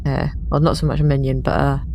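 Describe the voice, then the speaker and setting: A woman chatting casually as a player in a roleplaying game, describing her character in an informal, halting way. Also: extremely low pitch, little pitch movement, gravelly, mellow, a little hiss or hum.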